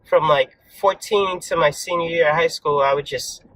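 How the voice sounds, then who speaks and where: A laid-back young man tells a story in a relaxed, casual way, his voice sitting a touch high for a man. He stops here and there to act out the voices of the people he quotes. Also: moderate pace, low-quality recording. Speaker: vlog co-host.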